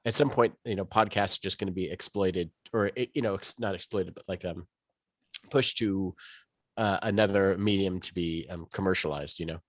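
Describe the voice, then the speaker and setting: A man with a somewhat low voice chats as a co-host on a podcast. He is casual and hesitant, circling around his point and rewording it. The sound is close and dry. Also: steady tone, moderate pace, a bit bright, no room sound. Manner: hesitant, circling back to rephrase. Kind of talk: casual chat.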